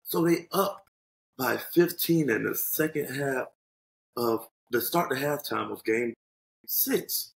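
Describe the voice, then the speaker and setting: Animated joking man, echoey room. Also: mid-range voice, slow pace, creaky, a little hiss or hum. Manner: animated, joking, swears freely. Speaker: podcast co-host. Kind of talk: casual sports chat. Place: home room. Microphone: headset on video call.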